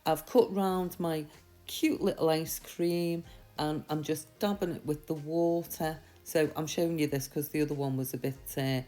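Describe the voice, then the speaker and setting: A woman with a slightly croaky voice from a mild sore throat, giving a chatty, reassuring, step-by-step tutorial. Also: low-pitched, fairly even intonation, normal speed, mellow, soft background music. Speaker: nail art tutorial host. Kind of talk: casual step-by-step tutorial voice-over.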